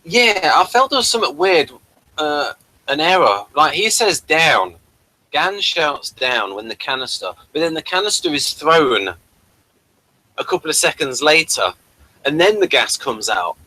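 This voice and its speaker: A man giving casual, running commentary on a TV episode as a review co-host, rambling and halting, often trailing off mid-sentence. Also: fairly high pitch, sing-song, bright, forceful tone, poor audio.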